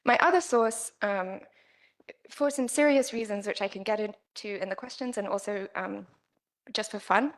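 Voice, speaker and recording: Quiet-voiced woman giving an academic talk. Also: mid-range voice, gravelly. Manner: quiet-voiced, careful with many fillers. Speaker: conference speaker. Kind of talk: academic presentation. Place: conference room.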